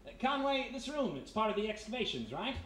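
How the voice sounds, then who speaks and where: A man's voice: high voice, big pitch swings, fairly quick, fairly bright tone. A man with an American accent playing a wisecracking private detective in a radio mystery, breezy and slangy. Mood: wry, curious. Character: wisecracking, smooth-talking male private detective, former thief. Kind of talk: live 1940s-style radio mystery acting. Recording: noisy echoey hall, distant stage mic.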